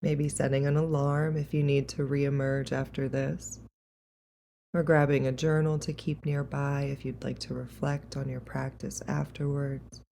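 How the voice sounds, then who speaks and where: A woman leading a guided meditation in a calm, gentle, unhurried and soothing way. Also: extremely low pitch, gravelly, a little hiss or hum.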